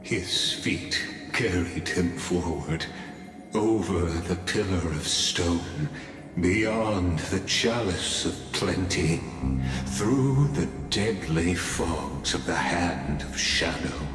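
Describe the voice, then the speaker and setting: A man acting as the solemn narrator of an epic game legend, speaking in a grave, stately, measured way. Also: sits low, lively intonation, exaggerated voice. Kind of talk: scripted dramatic storytelling narration. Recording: long hall reverb, music underneath.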